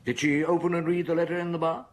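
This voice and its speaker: An actor playing a shrewd, authoritative Paris police chief who questions in a probing, measured way in a radio drama. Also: male voice, a little high, level tone, close to an everyday voice. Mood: brisk, probing.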